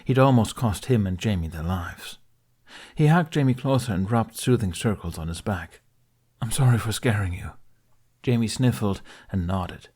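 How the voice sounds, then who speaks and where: A man reading a fan fiction aloud as an audiobook, in soft, measured storytelling. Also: on the low side. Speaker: podfic reader.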